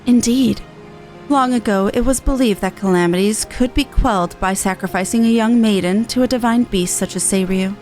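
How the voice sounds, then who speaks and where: A woman voicing a courteous, formal storyteller lady who recites old legends in a measured, archaic manner for a fantasy game. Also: medium pitch, darkish tone, soft background music, lightly acted. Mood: solemn, reverent.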